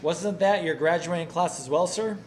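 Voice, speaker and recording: An actor playing a polite, efficient submarine executive officer in a wartime naval drama, speaking in an orderly, precise way. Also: male voice, a little high, normal speed, darkish tone, faint music behind, close to an everyday voice. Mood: courteous. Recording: faint background noise.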